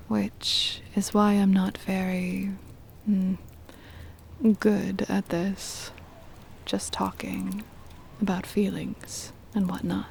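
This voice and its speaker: A woman playing a possessive, doting centuries-old vampire mistress in an intimate, breathy whispered ASMR roleplay, coaxing and tender with a menacing edge. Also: medium pitch, darkish tone, a little hiss or hum. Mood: vulnerable, hesitant. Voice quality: breathy whisper with creaky edges. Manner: slow, coaxing, possessive endearments.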